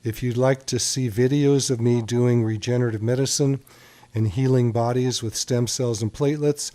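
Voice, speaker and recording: A man's voice: mid-range voice, warm tone. A man hosting a radio call-in show as a doctor, chatty and reassuring with callers.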